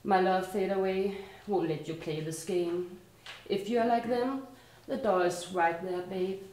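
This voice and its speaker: A Danish woman with a Danish accent reciting her own poetry at a live reading, her lines slow and rhythmic, sinking quieter at each ending. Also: medium pitch, reverberant, rough recording. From Denmark. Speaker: poet performing at a live reading. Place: art and culture community venue.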